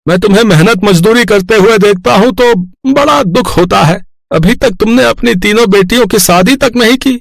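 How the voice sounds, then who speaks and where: High-pitched nosy village neighbour. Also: male voice, normal speed, vocal fry, no echo. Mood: meddling, fake concern. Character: nosy, meddling middle-aged male village neighbour.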